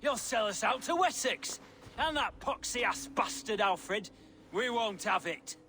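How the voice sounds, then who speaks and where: An actor playing a hot-tempered, crude captive Saxon thane in a video game, indignant and insulting. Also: male voice, sits high, animated, a little slow, pushed and bright, soft background music, exaggerated voice. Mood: defiant outrage.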